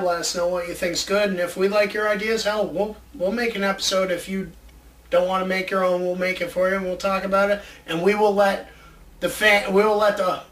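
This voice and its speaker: High-voiced man chatting in an echoey room. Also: fairly bright tone. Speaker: co-host of a video show about movie ideas. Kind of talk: casual chat. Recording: roomy, distant mic with background noise.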